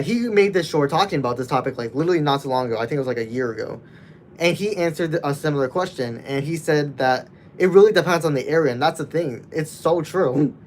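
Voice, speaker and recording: A nineteen-year-old man casually chatting with his livestream viewers, rambling loosely with many restarts. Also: on the high side.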